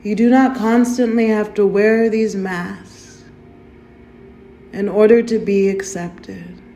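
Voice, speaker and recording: A woman leading a guided meditation in a gentle, soothing, unhurried way, softly inviting the listener to breathe. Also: mid-range voice, steady tone, slight background noise. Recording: roomy echo with faint music.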